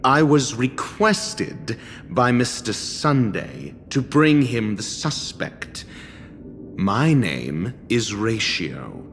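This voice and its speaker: An actor voicing an arrogant scholar in a video game, dry, sarcastic and condescending. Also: male voice, mid-range voice, big pitch swings, drawn-out words, fairly bright tone, a little hiss or hum, exaggerated voice. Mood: formal, composed. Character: arrogant, exasperated male genius scholar.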